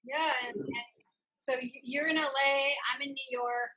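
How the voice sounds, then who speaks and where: A woman's voice: sits high, fairly even intonation, a little slow, pushed and bright, slight background noise, rough recording. A woman hosting a casual video chat interview, with an effusive way of talking. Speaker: talk show host.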